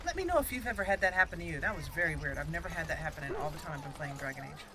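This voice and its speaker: A woman's voice: deep voice, lively intonation, very fast, crowd noise. A woman hosting a gaming channel, chatting casually and animatedly as she plays and thinks aloud. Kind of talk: casual game commentary.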